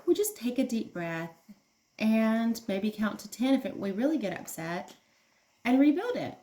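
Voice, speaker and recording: A woman's voice: fairly high pitch, expressive, measured pace, dark tone. A woman teacher reading aloud to young children, explaining things simply and asking them questions as she goes. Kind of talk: children's read-aloud lesson.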